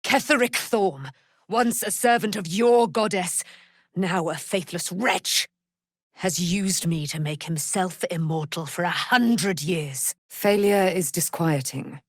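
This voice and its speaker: A woman's voice: lowish voice, takes it slowly, dry recording, clean studio sound. An actress playing a proud, immortal holy warrior woman in a fantasy game, speaking in grand, solemn, formal phrases. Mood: grave, proud. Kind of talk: theatrical fantasy game voice acting.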